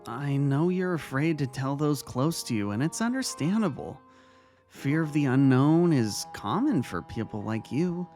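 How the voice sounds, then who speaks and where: A man's voice: on the high side, animated, a little mellow. Man acting kind, reassuring vice-principal over music. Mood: gentle, reassuring. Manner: earnest, measured reassurance. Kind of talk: dramatic fan fiction audio reading.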